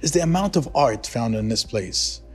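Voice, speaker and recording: A man's voice: mid-range voice, lively intonation, normal speed, breathy edge. Male interviewer over faint music. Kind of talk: conversational interview.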